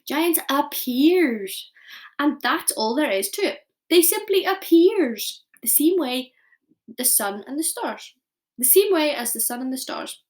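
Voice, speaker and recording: A woman voicing a kind, very old male giant in a storybook reading, speaking slowly and emphatically with muddled grammar. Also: high-pitched, very animated, voice pushed up. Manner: slow, emphatic, mangled grammar.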